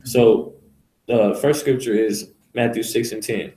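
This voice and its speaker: A man's voice: mid-range voice, fairly even intonation, a little slow, dark tone. Blunt rambling man in an echoey room. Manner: blunt, rambling, profane, repeats "you know". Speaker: street preacher leading a teaching session. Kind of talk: casual preaching to a small group.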